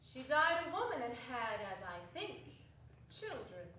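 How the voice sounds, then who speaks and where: Woman as gentle solemn spirit, high voice, theatrical stage reading, distant, heavy room echo. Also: sing-song, measured pace, a little hiss or hum, full character voice. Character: gentle, solemn guiding Christmas ghost. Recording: very echoey hall, distant mic.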